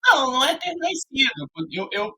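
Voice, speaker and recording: A man from Rio de Janeiro with a Carioca accent, chatting as a podcast guest in a relaxed, rambling way with frequent fillers. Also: very high voice, normal speed. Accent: Carioca Brazilian Portuguese. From Rio de Janeiro, Brazil. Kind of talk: casual conversation.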